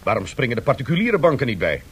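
A cautious bank official in a radio play, formal and measured. His voice is husky and of medium pitch. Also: big pitch swings. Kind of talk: 1970s radio drama acting.

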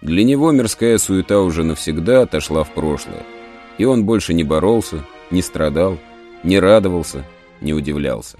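Deep-voiced male storyteller over music. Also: expressive. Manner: measured, solemn storytelling. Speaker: narrator. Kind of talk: literary scripted narration for an audio play. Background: soft music.

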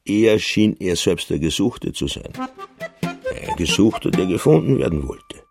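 A man reading scripted literary narration in a radio crime drama with a husky voice. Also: deep voice, sing-song, measured pace, over music.

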